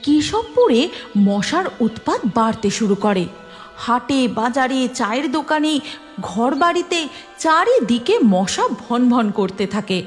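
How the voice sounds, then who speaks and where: A woman narrates a children's story from a script. Her voice is high with lively intonation, and music plays clearly behind her. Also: a little slow.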